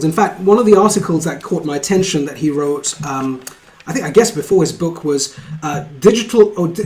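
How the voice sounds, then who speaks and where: A man hosting an interview show, introducing his guest with effusive praise and many fillers. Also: a little high. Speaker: podcast host.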